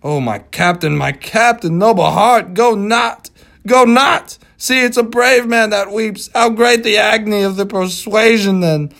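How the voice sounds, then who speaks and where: An earnest, loyal first mate, voiced by a man narrating a classic novel, begging his captain with rising emotion. His voice is pitched high above the narration, with a gravelly texture underneath. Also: measured pace, a bit bright, exaggerated voice. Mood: pleading. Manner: pleading, emotional.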